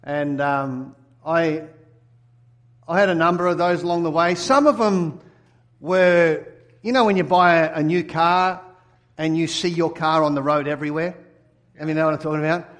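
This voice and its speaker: A man's voice: fairly high pitch, normal speed, long pauses, a bit bright. A middle-aged Australian man from Sydney, preaching a sermon and telling his own story earnestly, putting questions to the congregation. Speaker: pastor. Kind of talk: sermon with personal testimony.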